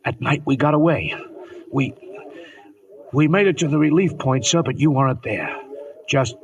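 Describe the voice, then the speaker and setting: An actor playing a badly wounded, dutiful cavalry corporal who halts and stammers weakly in a 1950s radio drama. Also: male voice, mid-range voice, recorded from a distance, dull audio, lightly acted. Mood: strained, urgent. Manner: halting, stammering, repeating words. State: weak, struggling to speak. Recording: old radio, off the microphone.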